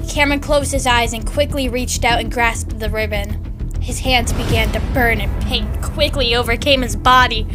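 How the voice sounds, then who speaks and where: A woman's voice: a little high, normal speed, over music. A woman hosting a casual reading of fan fiction, giggly and adding amused asides.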